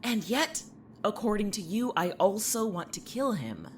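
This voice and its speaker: A woman's voice: medium pitch, very animated, a little slow, a little hiss or hum. A woman playing a sarcastic, defensive, exasperated young patient in an audio drama, blunt and snarky in delivery. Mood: indignant, sarcastic.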